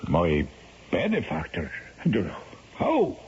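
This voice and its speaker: A proud Irish nobleman haunted by guilt, in an old radio play. The pitch leaps about with blustering, theatrical excitement and an Irish lilt. The recording is muffled, with faint hiss. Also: slow, heavily acted. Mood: awed, uneasy. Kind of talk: 1970s radio drama acting.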